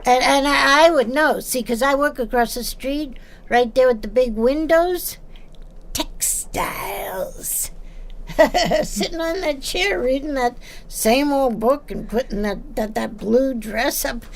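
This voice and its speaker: A nosy widowed seamstress in her sixties for a radio play. Her voice is high and gravelly, and she takes her time, rambling along in a folksy, halting way. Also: exaggerated voice. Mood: chatty, defensive. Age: older. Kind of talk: naturalistic audio drama acting.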